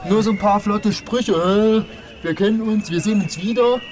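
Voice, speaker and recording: A man's voice: high-pitched, moderate pace. A man chatting casually and colloquially, as if telling a friend an anecdote. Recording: noisy outdoor background.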